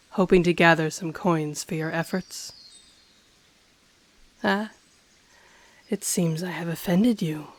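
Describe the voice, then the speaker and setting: A woman voicing an ancient, haughty, teasing dragon in human form, in an intimate audio roleplay with a breathy voice that often drops to a whisper. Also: fairly low pitch, darkish tone. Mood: mocking, probing. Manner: slow, deliberate, condescending, teasing. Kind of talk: intimate audio roleplay, scripted character acting.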